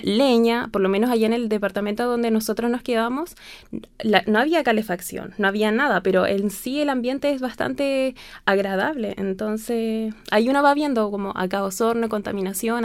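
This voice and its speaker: Young female student guest, southern Chilean accent, clean smooth tone, dry close sound, casual rambling answers. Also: a little high, normal speed, no echo. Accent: southern Chilean Spanish. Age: young adult. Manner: informal, rambling, repeats phrases. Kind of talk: casual spoken interview.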